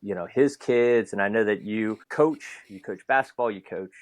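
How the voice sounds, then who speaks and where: A man's voice: fairly low pitch, normal speed, dry recording. A man hosting a podcast, talking conversationally and building long, reflective questions. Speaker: podcast host. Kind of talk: conversational interview.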